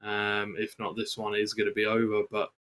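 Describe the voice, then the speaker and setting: A man's voice: on the low side, monotone, normal speed, fairly bright tone. A man working as an esports co-commentator, analysing football video game matches during live commentary.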